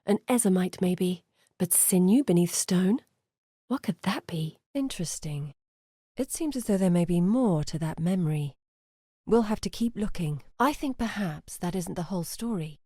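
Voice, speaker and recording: A woman's voice: fairly low pitch, animated, normal speed, warm tone, husky, no room sound, clean studio sound. An actress playing an earnest, curious woman scholar in a video game, articulate, thoughtful and dramatic in delivery. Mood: reflective. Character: earnest, curious woman scholar guiding an exile through memories. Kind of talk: video game character voice acting.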